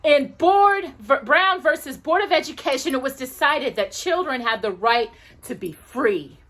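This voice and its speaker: A woman hosting a children's storytime, reading aloud with emphatic stresses, dramatic pauses and asides to her listener. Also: high-pitched, expressive, fairly bright tone.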